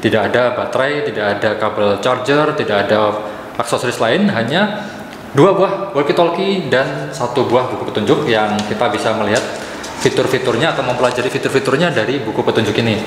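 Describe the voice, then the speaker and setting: A man's voice: medium pitch, a little fast. Very echoey young man reviewing gadgets. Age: young adult. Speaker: product reviewer and channel host. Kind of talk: casual explanatory gadget review. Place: indoor room, very reverberant. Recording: very echoey, reverberant room with faint noise.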